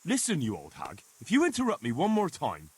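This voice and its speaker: An actor playing a flustered young medieval man, halting but insistent, in a video game cutscene. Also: on the high side, wildly swooping pitch, darkish tone, breathy edge, dry recording, exaggerated voice. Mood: insistent, annoyed.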